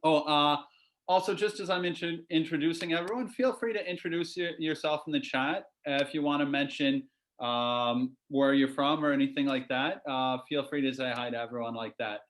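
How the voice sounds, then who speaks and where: A man's voice: fairly high pitch, a little fast, fairly bright tone. A man hosting an online session, introducing a guest and explaining how to ask questions in the chat, with frequent hesitations. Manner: hesitant, lots of fillers. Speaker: host. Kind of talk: introducing a guest on a video call.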